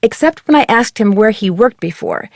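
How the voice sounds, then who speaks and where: A woman's voice: medium pitch, lively intonation, moderate pace, gravelly, dry recording, studio quality. A woman playing an earnest, inquisitive teenage girl detective in a video game, chatting in articulate, conversational lines. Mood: thoughtful, speculating.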